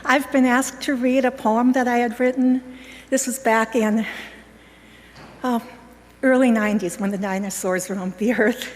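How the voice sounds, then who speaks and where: Bright-voiced woman joking in a reverberant church. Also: fairly high pitch, fairly even intonation, a little slow. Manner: casual, joking introduction. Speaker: congregation member introducing her own poem. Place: church sanctuary. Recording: long hall reverb with faint room noise.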